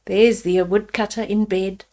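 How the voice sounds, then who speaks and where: An older woman reading a bedtime fairy tale aloud to young children, deliberately, with explanatory asides about the pictures. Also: medium pitch, fairly even intonation, warm tone.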